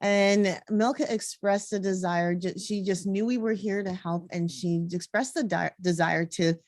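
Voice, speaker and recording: A woman's voice: medium pitch, fairly even intonation, measured pace, a little mellow, dry recording, clean studio sound. A woman hosting an online interview, speaking in an upbeat, enthusiastic way. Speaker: host.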